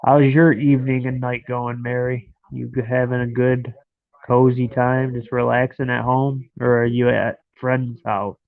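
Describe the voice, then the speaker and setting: A man's voice: mid-range voice, level tone, unhurried, creaky. A man in his thirties with a stuffed-up nose, chatting and rambling to viewers from his bed at home on a live stream. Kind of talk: casual live stream chat. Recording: dry, phone-like lo-fi sound.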